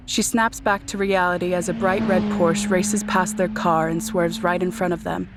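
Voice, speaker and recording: A woman narrating a dark vampire audio drama in a composed, scripted storytelling style. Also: lowish voice, slight background noise, lightly acted.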